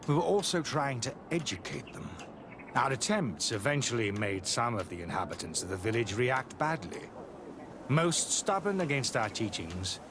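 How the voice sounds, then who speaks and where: A man's voice: mid-range voice, background noise, lightly acted. Man acting a guarded zealous monk, swooping intonation. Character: guarded, zealous male missionary monk and scholar. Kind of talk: video game cutscene acting.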